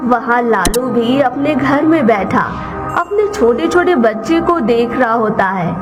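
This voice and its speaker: Female story narrator: slightly high register, unhurried scripted delivery, loud music bed. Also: lively intonation.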